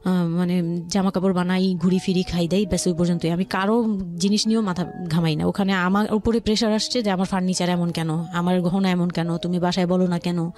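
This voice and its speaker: A woman with a Dhaka Bangladeshi accent telling her life story as a guest, haltingly, often rephrasing as she explains. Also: on the low side, level tone, a little mellow. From Old Dhaka, Bangladesh. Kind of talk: personal storytelling in conversation. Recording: music playing behind the voice.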